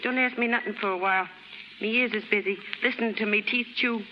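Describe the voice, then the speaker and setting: A woman's voice: medium pitch, steady tone, fast talker, lightly acted. A woman acting a naive, streetwise, poor young American chorus girl in slangy, ungrammatical speech on a 1930s radio drama. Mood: contented, curious. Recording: muffled, very noisy, reverberant 1930s radio recording.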